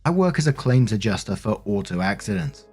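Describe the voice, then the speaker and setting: A man's voice: fairly low pitch, sing-song, a little fast, a little mellow, breathy edge, faint music behind. A man narrating online stories aloud as a show host, conversational and informal, with casual commentary.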